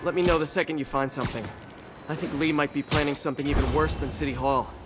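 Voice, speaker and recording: An actor playing a young American superhero in a video game, wisecracking, boyish and earnest, slightly flustered. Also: male voice, fairly high pitch, steady tone, fast talker, over loud music, natural voice. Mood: focused. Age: young adult. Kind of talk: video game cutscene acting.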